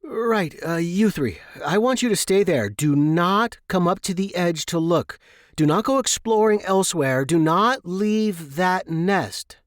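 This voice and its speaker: Man playing a gentleman explorer, raised pitch, flustered, earnest, kindly, exclamatory, storybook adventure, old-fashioned manners. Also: expressive, a little slow, no echo, clean studio sound. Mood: stern. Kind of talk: storybook character dialogue.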